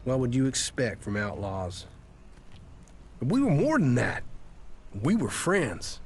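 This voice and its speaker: A man's voice: mid-range voice, wildly swooping pitch, slow pace, a little hiss or hum, exaggerated voice. A man playing a betrayed outlaw in a video game, sardonic and rueful. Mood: bitter, wry. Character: wry, betrayed outlaw rogue.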